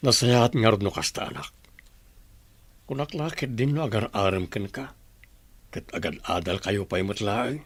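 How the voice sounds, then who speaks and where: A man with a Filipino accent playing a kindly, concerned middle-aged village father in a radio drama, with a husky voice. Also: on the low side, normal speed, long pauses, a bit bright, no echo. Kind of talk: Ilocano radio drama acting.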